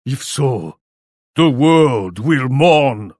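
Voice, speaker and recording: Proud warlord, dramatically swooping pitch. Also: male voice, expressive, very slow, a little mellow, no room sound, studio quality. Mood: despairing, solemn. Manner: solemn, declamatory, speaks of himself in third person. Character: proud, grim Japanese warlord commanding his armies. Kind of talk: theatrical video game voice lines.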